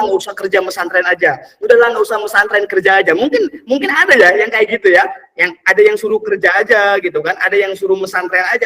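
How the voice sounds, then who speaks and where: A man in his early twenties giving an energetic motivational talk to an audience, repeating phrases and calling out to the crowd. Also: high voice, far from the mic. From Indonesia. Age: 20s. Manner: energetic, repetitive, calls out "teman-teman" often. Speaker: motivational speaker.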